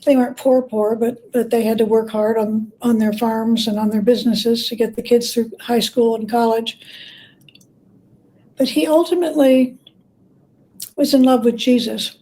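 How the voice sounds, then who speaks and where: Mellow-voiced woman, slightly high pitch. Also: fairly even intonation, moderate pace, low-quality recording. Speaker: guest, church historian and biographer. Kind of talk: conversational interview, storytelling.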